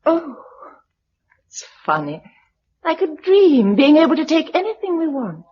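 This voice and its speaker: A woman's voice: high-pitched, sing-song, measured pace. Well-bred young Englishwoman, radio play, shaken and vulnerable, hesitant, swooping intonation, dull lo-fi sound. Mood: uneasy. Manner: genteel, hesitant, emotional. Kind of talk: radio drama acting. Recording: dull lo-fi radio drama recording.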